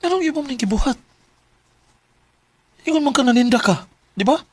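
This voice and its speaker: A man's voice: very high-pitched, expressive, moderate pace, dry recording. A man with a Filipino Bisaya accent acting a lovesick, jealous young security guard in a radio drama, questioning insistently and emotionally. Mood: anxious, probing.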